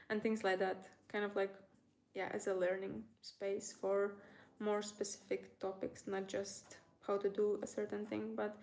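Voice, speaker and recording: A woman's voice: medium pitch, darkish tone. Rambling woman. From Latvia. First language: Latvian. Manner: rambling, hesitant, trailing off mid-thought. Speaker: crochet tutorial creator, livestream host. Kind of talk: casual livestream chat.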